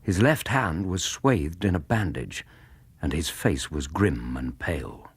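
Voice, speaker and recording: An actor playing a steady, kindly English gentleman companion in a radio drama, gravelly, with measured, dry humour. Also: low voice, heavily acted. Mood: sombre narration. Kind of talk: radio drama acting and narration.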